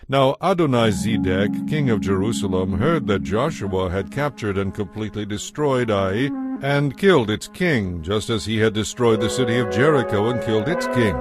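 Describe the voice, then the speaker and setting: Deep-voiced male scripture narrator with music. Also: lively intonation, normal speed.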